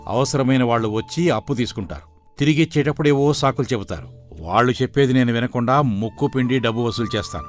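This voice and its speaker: An actor playing a proud, self-made, miserly village moneylender who justifies himself firmly in a moral story. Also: male voice, medium pitch, fast, soft background music, lightly acted. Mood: stern, unapologetic. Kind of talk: dramatised moral story dialogue.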